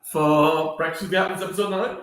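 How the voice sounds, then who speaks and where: A man's voice: a little high, steady tone, brisk, fairly bright tone. A man hosting a casual breakfast live stream, chatting and greeting viewers by name. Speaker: livestream host. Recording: echoey room.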